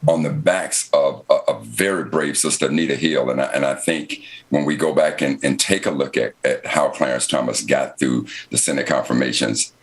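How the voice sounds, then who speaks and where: Very deep-voiced American man moderating a discussion. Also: moderate pace, few pauses. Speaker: panel moderator. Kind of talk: unscripted political discussion.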